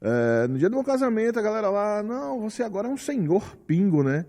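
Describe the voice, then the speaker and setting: A man in his forties with a Bahian accent and a mellow, warm voice talks on a podcast. He tells his life story in a lively way and acts out the lines people said to him. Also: high-pitched, fairly quick. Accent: Bahian Brazilian Portuguese. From Salvador, Bahia, Brazil. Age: 40s. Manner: animated storyteller, acts out quotes. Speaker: musician guest.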